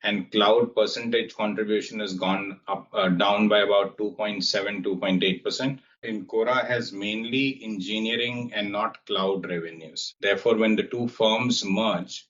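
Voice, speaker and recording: A man's voice: on the low side, steady tone, normal speed, hardly any pauses, a bit bright. A man speaking as a company executive on an earnings call, formal and assured, with emphatic repetition. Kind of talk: earnings call remarks and answers to analysts. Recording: dull, band-limited conference call audio.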